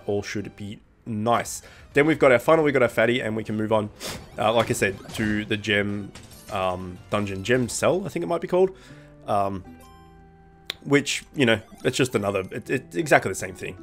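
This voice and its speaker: Stuffy-nosed young guy with a cold chatting over a game, lowish voice, lots of ums, soft music under it. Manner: rambling, many ums and self-corrections. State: congested with a cold, blocked nose. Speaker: gaming channel host. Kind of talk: casual gameplay commentary. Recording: faint music bed underneath.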